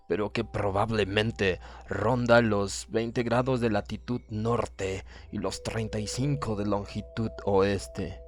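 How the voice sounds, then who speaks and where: A proud German submarine commander, read by a man, recounts disaster in a formal, measured way. The voice is fairly low and coldly pompous, with music clearly behind it in audiobook style. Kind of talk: dramatic audiobook reading.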